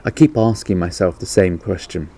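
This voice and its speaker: A man's voice: sits low, moderate pace, creaky, warm tone. A man reading the part of an earnest, distressed woman in a children's storybook, pleading emphatically. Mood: troubled.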